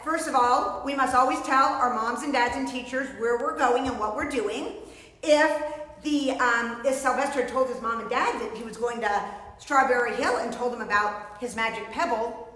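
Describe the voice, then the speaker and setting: A middle-aged woman presents story time for children in a high, theatrical voice. Her delivery swings widely in pitch, full of exclamations, in a very echoey room. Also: fairly bright tone. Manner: theatrical, exclaiming, speaks of self in third person. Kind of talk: animated picture-book reading and chat to children. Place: indoor room with painted stage backdrop. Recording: very echoey room with long reverb.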